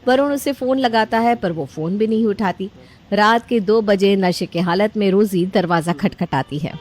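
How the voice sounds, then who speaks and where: A woman's voice: mid-range voice, normal speed, darkish tone. A woman storyteller narrating a scripted domestic drama in a dramatic storytelling style.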